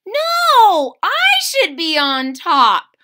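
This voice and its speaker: A woman's voice: very high voice, wildly swooping pitch, very slow, bright, forceful tone, pitched above the performer's own voice, heavily acted. A woman voicing a proud, curious male oak leaf with emphatic words in a children's storybook read-aloud. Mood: insistent.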